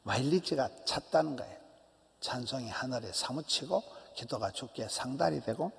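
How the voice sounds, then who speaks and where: A man's voice: mid-range voice, normal speed, gravelly. A man in his sixties with a Gyeongsang accent, a pastor giving an early-morning sermon in punchy repeated phrases that sometimes drop to a whisper. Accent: Gyeongsang Korean. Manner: animated, emphatic preaching with short repeated phrases and drops to a whisper. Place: church sanctuary. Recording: church hall reverb.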